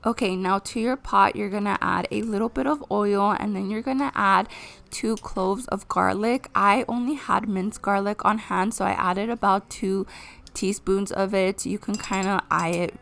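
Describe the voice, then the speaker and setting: A woman narrates a cozy cooking vlog as she talks viewers through each step. Her voice is hoarse from a cold and has a gravelly edge. Her pitch sits in a middle range. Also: fairly even intonation, normal speed, a bit bright. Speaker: vlogger. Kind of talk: casual cooking vlog voice-over.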